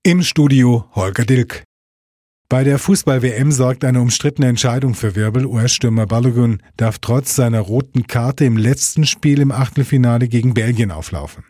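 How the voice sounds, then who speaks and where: A male news anchor with a standard German accent reads scripted bulletins for radio. His voice is mellow and soft-edged, recorded very dry in a studio. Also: mid-range voice, normal speed, no echo.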